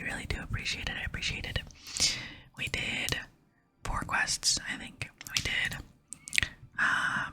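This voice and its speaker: A woman hosting an ASMR gaming video, whispering chatty, gentle commentary to her viewers. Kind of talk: whispered ASMR game commentary and quest reading. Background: soft music.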